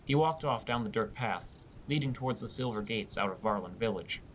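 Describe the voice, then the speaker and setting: A man's voice: expressive, a little fast. A man reading a first-person fantasy story as an audiobook narrator. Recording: rumbly background noise.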